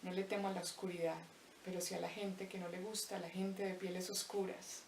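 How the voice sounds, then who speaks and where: Lower-voiced Paisa woman reciting from afar. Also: level tone, a little mellow, a little hiss or hum. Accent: Paisa Colombian Spanish. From Colombia. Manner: measured, reflective recitation with deliberate pauses. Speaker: spoken-word performer. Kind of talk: spoken-word poetry monologue. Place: empty abandoned house. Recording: roomy echo, voice distant from mic.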